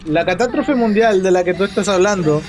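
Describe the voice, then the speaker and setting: A man's voice: high voice, fairly quick, darkish tone, faint music behind. A young man with a Chilean Spanish accent, chatting casually and joking as he reads chat messages during a game stream.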